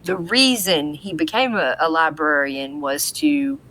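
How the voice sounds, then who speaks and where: A woman's voice: low-pitched, very animated, measured pace, sharp tone, slight background noise. A woman co-hosting a podcast, giving a casual episode recap in a conversational way. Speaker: podcast co-host.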